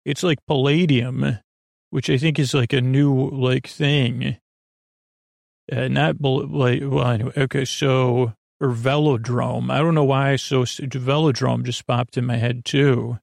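Creaky-voiced man rambling a bedtime podcast. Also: medium pitch, level tone, a little slow, no room sound, studio quality. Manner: meandering, mumbling, often stumbling over words and trailing off; gentle, lulling. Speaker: podcast host.

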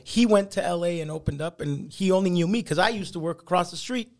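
High-voiced animated comedian telling stories. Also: male voice, fast, creaky. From Canada. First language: Italian. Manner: animated anecdotes with laughs and restarts. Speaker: podcast guest, comedian. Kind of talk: casual storytelling interview.

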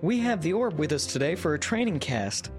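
A man acting in a comedy audio drama as a pompous, exasperated boss of a death pit, with deadpan corporate patter. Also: a little high, fairly quick, soft background music. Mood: officious, cheery.

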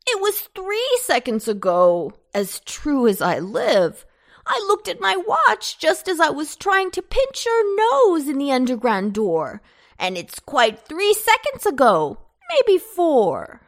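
A frantic little potato fellow from a children's storybook, acted out by a woman reader. He bawls and whines in a high, loud voice that swoops with quarrelsome protest. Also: wildly swooping pitch, slow pace, full character voice. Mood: indignant protest. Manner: bawling, crying out. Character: frantic small male potato, whining and quarrelsome.

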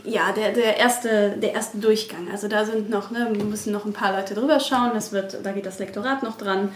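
A woman's voice: mid-range voice, fairly even intonation, moderate pace. A woman chatting casually as a publishing staff member being interviewed in an office. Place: indoors in an office. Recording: echoey room, faint background noise.

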